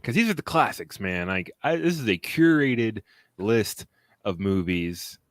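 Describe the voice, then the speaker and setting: A man hosts a casual film podcast in an unhurried, drawn-out way. His voice sits low and he rambles, laughing often. The sound is close and dry, with no room around it. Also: sing-song, dry recording. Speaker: podcast host.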